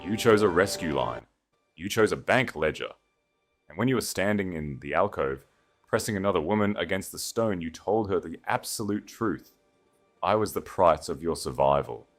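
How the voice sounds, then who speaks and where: A man voicing a betrayed young noblewoman in an audiobook, cold, measured and precise. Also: low-pitched, animated, faint music behind. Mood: cold accusation.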